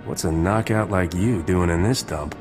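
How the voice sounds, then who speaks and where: Man acting as smuggler captain, very low voice, cocky, suave, cool, game dialogue, reverberant with music. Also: moderate pace, natural voice. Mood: flirtatious, cocky. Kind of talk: scripted video game acting.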